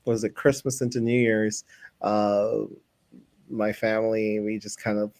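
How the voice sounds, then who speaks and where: A man's voice: fairly low pitch, level tone, measured pace, a little mellow, no room sound. A man hosting a live stream, chatting casually and rambling a little between laughs. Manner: friendly, rambling, laughs easily. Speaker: host.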